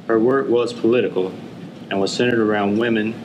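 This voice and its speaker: A man delivers scripted educational narration. His voice sits somewhat low in pitch. There is noticeable noise behind him throughout the recording. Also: fairly even intonation, a little fast.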